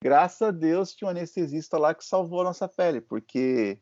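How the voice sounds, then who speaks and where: A man's voice: a little high, lively intonation, brisk, darkish tone. Chatty Brazilian man, muffled audio. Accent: Brazilian Portuguese. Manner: conversational storytelling, checks in with "né?" and "certo?". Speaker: host and physician. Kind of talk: casual interview chat.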